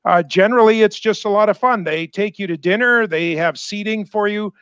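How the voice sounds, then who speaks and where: High-voiced older man, halting speech. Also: a bit bright, smooth, no room sound, muffled recording. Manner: halting, full of "uh" and restarts. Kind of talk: casual chat. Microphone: headset mic on a video call.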